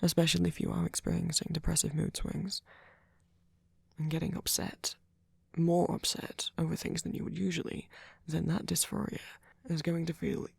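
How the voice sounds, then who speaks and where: A man playing a sweet, gentle vampire boyfriend in an ASMR comfort roleplay, speaking haltingly in a breathy, husky whisper. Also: on the high side, monotone, no room sound, clean studio sound, exaggerated voice. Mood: soft, sympathetic.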